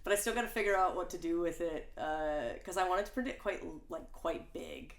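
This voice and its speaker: A woman hosting a relaxed podcast conversation, her voice sitting fairly low for a woman. She rambles cheerfully, full of "like" and half-finished thoughts. The room around her is noticeably echoey. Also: brisk. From Langley, Canada. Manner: chatty, lots of "like" and restarts. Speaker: podcast host. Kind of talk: casual interview chat. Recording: roomy echo.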